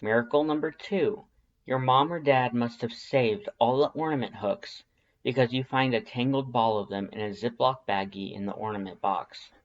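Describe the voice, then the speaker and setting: A man reading fan fiction aloud as expressive scripted story narration. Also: medium pitch, normal speed, highs cut off.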